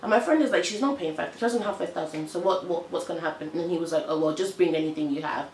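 A young woman with an English accent talks frankly to camera in an animated, exasperated way. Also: on the low side, quick pace, echoey room, slight background noise, rough recording. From United Kingdom. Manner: animated, frank, exasperated storytelling with hand gestures. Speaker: vlogger. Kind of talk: casual chat to camera. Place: small indoor room, on a sofa.

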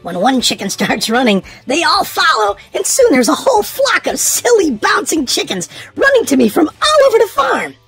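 A woman reads a children's storybook aloud in an expressive, sing-song way, leaning on key words for young listeners. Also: high-pitched, normal speed, few pauses, soft background music.

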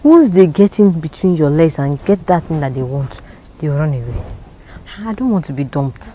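A woman's voice: sits low, moderate pace. A woman acting in a skit as a wary single woman who wants marriage. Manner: expressive, wary.

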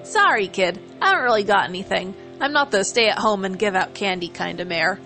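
A woman's voice: mid-range voice, expressive, a little fast, bright, forceful tone, faint music behind. Woman putting on a brash, cocky cartoon pony mare. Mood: apologetic. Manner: casual, cocky, emphatic. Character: brash young adult pony mare, boastful athlete with a kind streak.

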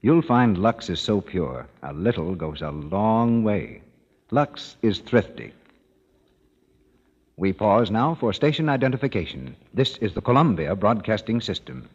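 A deep-voiced male announcer delivers a formal, salesy 1930s radio pitch. His husky voice moves around a lot in pitch. The recording is dull and muffled, with a long hall reverb. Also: sing-song, a little slow.

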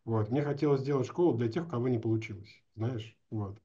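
A man's voice: medium pitch, a little fast, mellow. A man in his forties talking casually and informally in an interview, thinking aloud in slangy language. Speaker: animation teacher. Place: indoors at home or office, on a video call. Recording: dull lo-fi video call audio.

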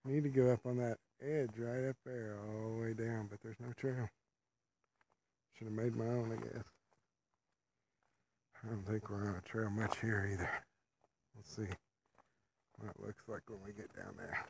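A man's voice: lowish voice, flat delivery, normal speed, gravelly, pauses often, darkish tone, a little hiss or hum, poor audio. A man narrating casually while hiking through a wooded ravine, rambling in a laid-back, folksy way, recorded on a handheld camera outdoors. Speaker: vlogger. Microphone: handheld camera while walking.

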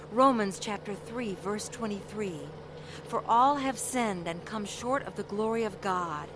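A woman's voice: mid-range voice, background noise, natural voice. An actress playing an earnest young woman telling her life story of faith in a radio drama. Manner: earnest, heartfelt. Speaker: actress narrating and playing the lead. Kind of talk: radio drama acting with first-person narration.

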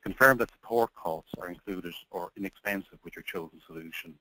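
A man hosts a business webinar, reading his prepared points before handing over to a guest. His voice sits low with a sharp, bright edge. The recording is close and dry. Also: a little fast, no room sound, rough recording. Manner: reads prepared points, then hands over politely. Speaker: webinar host. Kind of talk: scripted webinar presentation.

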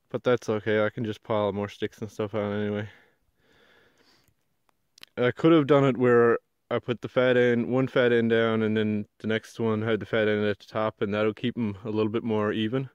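A young man with a lilt talks in a relaxed, chatty way, walking the camera through his work. His voice is smooth and clear, close and dry. The camera audio sounds a bit dull. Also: on the low side, normal speed, dry recording. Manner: relaxed, chatty, explains as he works. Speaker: bushcraft vlogger. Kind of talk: casual narration while working. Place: outdoors in a spruce forest. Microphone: handheld or mounted action camera.

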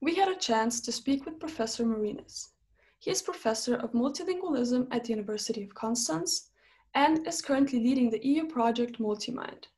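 A woman's voice: high-pitched, a little mellow. A young woman hosting an interview series, delivering a scripted introduction to camera. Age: young adult. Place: small plain room indoors.

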